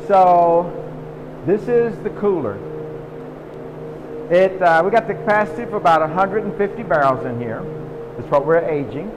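A middle-aged man explains things in a folksy way, answering his own questions as he leads a tour. His voice sits slightly high. It is caught on a phone in a vast warehouse with a long reverb tail and faint noise. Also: normal speed, long pauses, muffled recording. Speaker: distillery tour guide. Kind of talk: guided warehouse tour on a live stream. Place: large indoor barrel warehouse and walk-in cooler. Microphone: phone camera held by someone walking with him.

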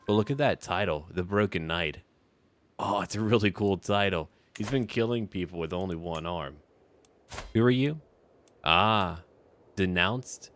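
A man's voice: low voice, fairly bright tone. A man giving casual, lightly roleplayed gameplay commentary, musing aloud in short clipped remarks. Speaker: host of a gameplay series. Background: background music.

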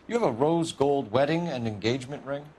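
An actor playing an earnest, by-the-book American homicide detective in a video game, clipped, formal and businesslike. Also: male voice, mid-range voice, a little fast, darkish tone, slight background noise, natural voice. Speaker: police detective. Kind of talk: video game dialogue, crime drama.

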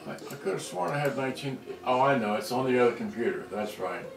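A man's voice: mid-range voice, expressive, quick pace, vocal fry. A man giving a casual, off-the-cuff show-and-tell, rambling and muttering to himself as he goes. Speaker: vintage electronics hobbyist presenter. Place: indoors in a room at a computer screen. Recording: roomy echo, distant mic, music behind.

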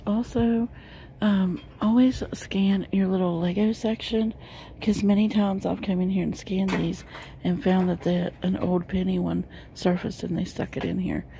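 Chatty woman with a gravelly, mellow voice. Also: medium pitch, steady tone, a little slow, slight background noise. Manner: chatty, many "um" and "uh". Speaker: shopping vlogger. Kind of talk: casual store walk-through. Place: inside a large discount store. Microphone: handheld phone camera.

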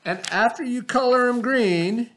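Slow-talking teacher guiding children. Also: male voice, very high voice, a bit bright. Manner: slow, step-by-step instructions to children. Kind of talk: remote classroom craft lesson. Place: classroom, indoors. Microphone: webcam at desk.

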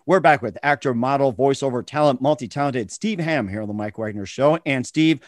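A man's voice: medium pitch, fairly quick, dry recording, clean studio sound, faint music behind. A man hosting a radio interview in a casual, conversational style. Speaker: radio show host.

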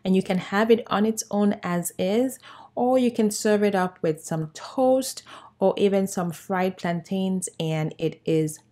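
A woman's voice: mid-range voice, unhurried, dark tone. A woman in her thirties from Cameroon, hosting a cooking channel and talking viewers through a recipe in a friendly, upbeat way. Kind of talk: cooking tutorial with voice-over. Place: bright home room with plants.

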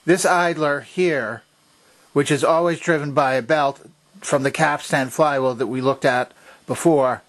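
A man's voice: medium pitch, fairly bright tone. A man presenting a hands-on repair demonstration, explaining methodically and pointing things out step by step.

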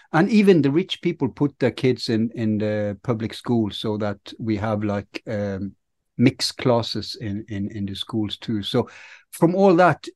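A man in his thirties co-hosting a podcast, talking with halting restarts on a video call. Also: medium pitch, darkish tone, no echo, studio quality. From Norway. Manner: hesitant, repeats words. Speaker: podcast co-host. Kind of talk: casual conversation. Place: home study with bookshelves, video call. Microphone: headphones and studio mic.

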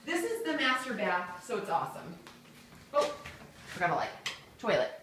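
A woman's voice: medium pitch, huge pitch swings, normal speed, a bit bright, roomy sound, far from the mic. A woman in her thirties giving a chatty, jokey tour of an empty new house, filmed on a phone. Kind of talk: casual home tour video. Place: empty house with bare rooms, indoors. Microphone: phone camera held by another person.

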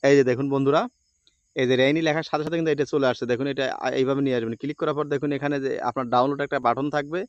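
A man's voice: mid-range voice, steady tone, rapid-fire, dry recording, lo-fi sound. A man presenting an informal step-by-step editing tutorial, with repetitive instructional phrasing.

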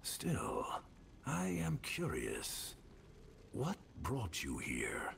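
A grave, courteous warrior hero in a fantasy game. His very low voice is gravelly and formal, with noisy ambience behind it. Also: huge pitch swings, extremely slow, a bit bright, heavily acted. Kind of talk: video game character acting.

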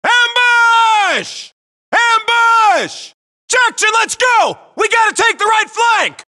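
A Marine in the thick of a shooter-game firefight, shouting at the top of a very high, strained register. The delivery is bright, forceful and urgent, swinging hard with each barked order. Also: male voice, animated, slow, heavily acted. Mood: commanding. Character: young hard-charging US Marine infantryman in battle. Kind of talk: military shooter game battle barks.

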